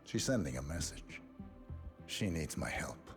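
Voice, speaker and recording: A grim hitman, really deep voice, terse and guarded, game cutscene, faint music. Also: very animated, a little slow, exaggerated voice. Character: grim, terse male professional assassin. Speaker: video game actor. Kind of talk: cinematic game dialogue.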